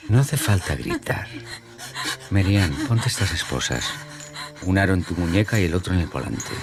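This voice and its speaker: An actor with a Castilian Spanish accent playing a polite, calmly menacing young killer in a radio drama. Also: male voice, low voice, normal speed. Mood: cold, threatening. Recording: music bed under the voice.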